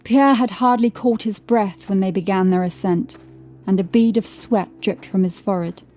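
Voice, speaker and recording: A woman narrates a scripted horror story. Her voice is soft-edged and mellow, sitting at an average female pitch. She reads dramatically over quiet music. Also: normal speed.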